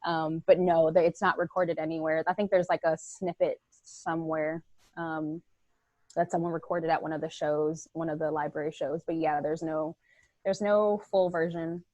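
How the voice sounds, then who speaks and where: A woman actor and playwright chatting as a guest on a video call, laughing often and filling pauses with ums. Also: low-pitched, level tone, a little mellow, dry recording. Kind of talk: casual video-call conversation.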